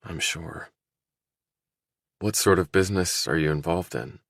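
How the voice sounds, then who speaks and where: A man's voice: sits low, a little slow, gravelly, no room sound, studio quality, heavily acted. A man voicing a guarded, polite young man in audiobook dialogue.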